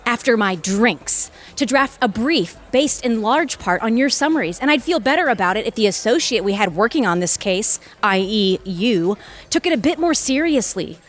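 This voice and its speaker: An actress playing an exacting, irritated senior lawyer in an audio drama, speaking in a cutting, sarcastic and articulate way. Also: female voice, a little high, animated, normal speed. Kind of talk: audio theatre dialogue acting.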